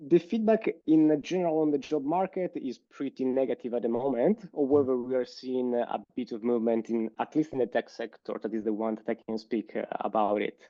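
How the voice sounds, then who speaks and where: Italian guy on a podcast, mellow voice, kinda muffled dry sound, lots of uhs. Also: mid-range voice, fairly even intonation, dry recording. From Italy. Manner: thoughtful, hesitant with frequent "uh" pauses. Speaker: guest. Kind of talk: casual interview answers. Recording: slightly dull lo-fi sound.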